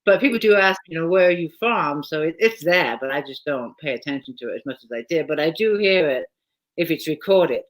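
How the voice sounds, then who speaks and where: A woman's voice: low voice, animated, a little fast, low-quality recording. A woman with a British accent hosting a casual live author chat from home, talking chattily and laughing often. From Britain. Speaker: host.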